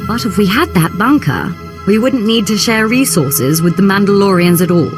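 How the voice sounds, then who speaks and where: A woman's voice: mid-range voice, animated, moderate pace, lightly acted. Poised Imperial diplomat over music a woman acting. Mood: polite, earnest. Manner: formal, diplomatic. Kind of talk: video game character acting. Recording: dramatic music behind.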